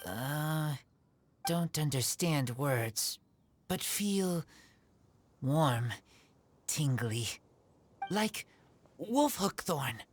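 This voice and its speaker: An actor voicing a quiet, blunt, wolf-raised boy who speaks in halting broken phrases, in an English game dub. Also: mid-range voice, lively intonation, slight background noise. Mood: guarded, halting.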